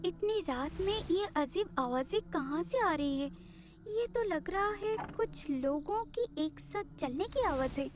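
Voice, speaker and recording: Curious, skeptical young female visitor, acted by a woman, extremely high and animated, cartoon story delivery. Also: a little slow, background noise. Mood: puzzled, suspicious. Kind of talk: Hindi cartoon story voice acting.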